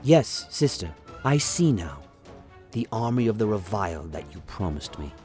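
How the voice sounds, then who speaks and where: A man's voice: sing-song, a little mellow. A man portrays a mad exiled prince in a fantasy radio play. He is sinister and smirking, drawing out conspiratorial lines, with music in the background. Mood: entranced. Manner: low, conspiratorial, drawn-out.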